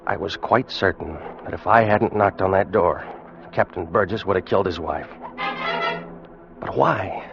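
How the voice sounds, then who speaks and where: A man's voice: sits low, unhurried, vocal fry. An actor playing a tense, watchful ship's officer who narrates his tale in a 1940s radio drama. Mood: ominous. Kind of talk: 1940s radio acting, first-person narration. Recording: dull vintage radio recording with music.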